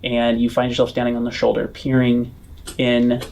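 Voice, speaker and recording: A man acting as game master, improvising scene descriptions in a halting way and rephrasing as he goes. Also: medium pitch, completely flat delivery, gravelly, darkish tone. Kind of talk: improvised tabletop game narration.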